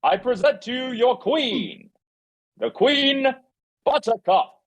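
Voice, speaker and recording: A man's voice: very high-pitched, takes it slowly, pitched above the performer's own voice, full character voice. A man voicing a pompous prince, proclaiming ceremoniously to his subjects in a table read. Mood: grandly solemn. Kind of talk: live script table read.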